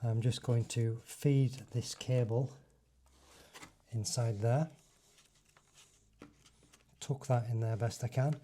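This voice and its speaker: A man with a dark, relaxed voice at a middling pitch talks through a hands-on job step by step. He is informal and seems to think aloud as he works, like a vlogger showing viewers a fitting. There is faint noise behind his voice. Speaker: motovlogger presenting a fitting tutorial. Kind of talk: casual hands-on demonstration, talking through each step.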